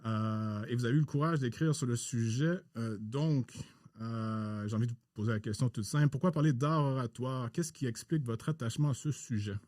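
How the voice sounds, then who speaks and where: A man hosting a podcast interview in a formal, halting way with many pauses. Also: mid-range voice, darkish tone, dry recording, clean studio sound.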